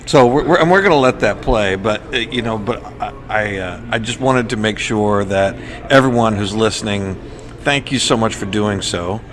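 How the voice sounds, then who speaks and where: A man hosting a podcast in casual chat, enthusiastic and somewhat halting, often repeating words. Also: fairly low pitch, a bit bright. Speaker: podcast host. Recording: faint background noise.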